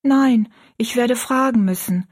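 A woman narrator puts on a sweet, timid orphan girl for a storybook reading. The voice sits high and is a little husky. She speaks softly and earnestly, pleading yet determined. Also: a little slow. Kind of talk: audiobook character voice.

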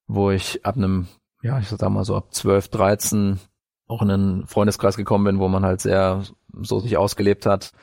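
A man from northern Bavaria telling his life story as an interview guest, halting as he searches for words. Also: low-pitched, creaky, darkish tone, no room sound, studio quality. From northern Bavaria, Franconia, Germany. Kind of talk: personal life story in conversation.

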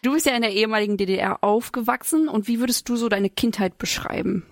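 A woman's voice: a little high, fast, no room sound. A woman hosting a podcast in a studio, chatting casually as she interviews her guests. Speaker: podcast host.

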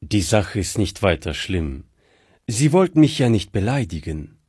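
A man voicing a gentle, proud middle-aged pastor in a read-aloud story. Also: lowish voice, sing-song, a little slow, husky, dry recording, studio quality, heavily acted. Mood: soothing.

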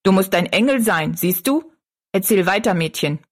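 A woman's voice: mid-range voice, lively intonation, moderate pace, lightly acted. A woman voicing a half-amused, curious man in a storybook, with a casual, questioning manner. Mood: teasing.

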